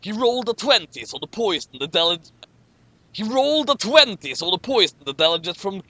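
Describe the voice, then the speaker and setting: Male comedy reader, bright forceful voice, swinging intonation, mocking asides, stumbling and repeating lines. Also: sits high, big pitch swings, brisk, no echo. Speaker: host of a comic fan fiction reading. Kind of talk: dramatic reading with mocking commentary.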